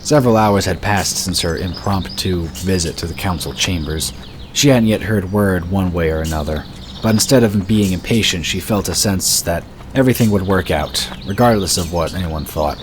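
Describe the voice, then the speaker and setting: A man narrating a fantasy story in a steady, measured storytelling voice. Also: deep voice, background noise. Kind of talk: scripted audiobook narration.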